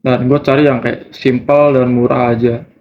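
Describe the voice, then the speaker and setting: A man's voice: mid-range voice, level tone, normal speed. A nineteen-year-old man, giving casual, slangy answers as an interviewee. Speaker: student interviewee in a group discussion. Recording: muffled, noisy lo-fi recording.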